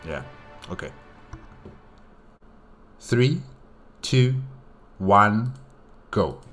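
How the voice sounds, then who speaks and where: A man hosting a reaction video, talking through a casual recap and thinking aloud in a halting way. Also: lowish voice, sing-song, fairly bright tone, faint music behind.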